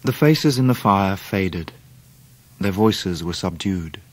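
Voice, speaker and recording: Radio drama narrator: reflective, wistful gentleman, lowish voice, close dry sound. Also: expressive, slow, no room sound, exaggerated voice. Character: reflective, melancholy grown man recalling his life. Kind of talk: dramatised first-person narration.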